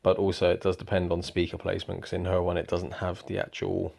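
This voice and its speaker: A young man giving a relaxed, rambling product review at home, with lots of ums. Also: sits low, fast, creaky. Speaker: motorcycle vlogger. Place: indoors at home.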